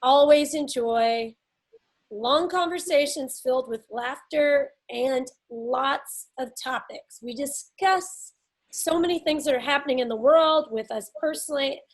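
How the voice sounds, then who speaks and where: A middle-aged woman from Nashville with a Southern accent, co-hosting a podcast in casual, laughing chat with frequent ums. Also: high voice. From Nashville, Tennessee. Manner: chatty, laughing often, drawn-out pauses with ums. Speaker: podcast co-host.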